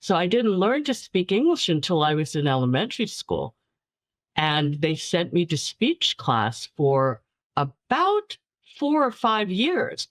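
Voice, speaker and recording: A woman's voice: lowish voice, sing-song, unhurried, no echo. A woman from New York City whose first language was Chinese, telling stories in an interview and repeating words for emphasis. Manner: warm storyteller, repeats words for emphasis, laughs lightly. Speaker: guest filmmaker. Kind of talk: casual interview talk.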